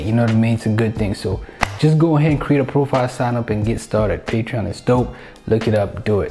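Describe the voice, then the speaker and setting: A man's voice: medium pitch, warm tone. A young man from Cameroon answering viewer questions in a casual vlog, upbeat and repeating phrases for emphasis. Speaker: YouTube channel host.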